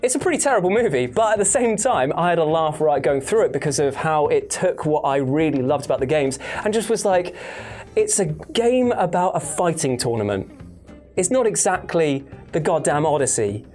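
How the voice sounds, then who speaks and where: A presenter chatting casually about opinions in a jokey, animated way, swearing freely. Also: mid-range voice, moderate pace. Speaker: video channel presenter. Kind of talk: casual chat, film opinion. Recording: music behind the voice. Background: background music.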